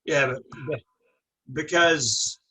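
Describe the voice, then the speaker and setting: A man's voice: fairly high pitch, takes it slowly, sharp tone, rough recording. A man who stutters, hosting a casual podcast conversation and musing aloud, often ending his points with a questioning "right?". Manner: reflective, ends with "right?". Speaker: podcast host.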